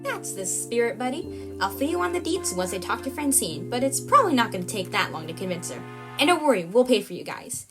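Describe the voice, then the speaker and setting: Audio drama role: breezy, persuasive female matchmaker, acted by a woman, perky and smug, over clear music. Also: on the high side, very animated, a little fast, voice pushed up. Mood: cheerful.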